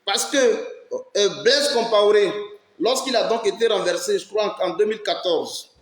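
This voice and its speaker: A man's voice: high-pitched, unhurried. A man from Ivory Coast, giving impassioned political commentary on his own channel, emphatic and fond of rhetorical questions. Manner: emphatic, rhetorical questions, urges listeners to listen. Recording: roomy echo.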